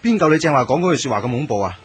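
A man's voice: mid-range voice, expressive, rapid-fire, husky. A man acting as a wistful young man in a radio drama, speaking and narrating in Hong Kong Cantonese. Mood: startled, irritated.

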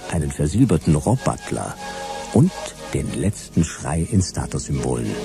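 A man's voice: extremely low pitch, creaky, exaggerated voice. A man playing a world-weary private detective in a sci-fi radio drama, speaking with dry, laconic irony. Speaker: narrator and lead character. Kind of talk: hard-boiled radio drama narration and dialogue.

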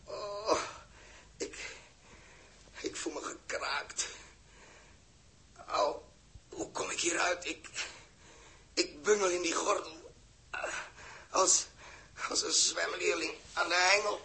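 An actor playing a lone, dazed but dutiful male astronaut, halting and repeating phrases in a 1960s Dutch radio drama monologue. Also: high voice, steady tone, vocal fry, bright, forceful tone, exaggerated voice. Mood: battered, groaning. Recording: noisy early-1960s radio drama recording.

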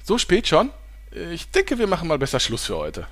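A man playing a smug, sarcastic sidekick with a husky voice. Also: a little high, wildly swooping pitch, moderate pace, dry recording.

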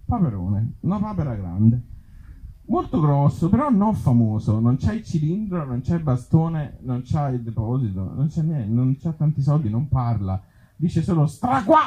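A man puts on a befuddled storybook reader with a raised, slightly husky voice. The delivery is stubborn and halting, stumbling over words and repeating them, as comic theatre. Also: fairly high pitch, big pitch swings, warm tone, voice pushed up, heavily acted, poor audio. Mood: excitable, insistent. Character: befuddled, stubborn reader of absurd little fairy tales.

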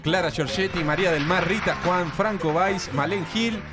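A man's voice: high-pitched, moderate pace, music in the background. A man with an Argentine accent reading scripted radio credits as an announcer.

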